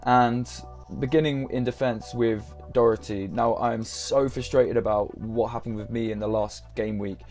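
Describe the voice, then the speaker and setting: A young man, chatting casually on a live stream, slightly croaky from a sore throat, hesitating often with ums and uhs. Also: mid-range voice, fairly even intonation, normal speed, hardly any pauses, darkish tone, faint music behind. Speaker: fantasy football streamer.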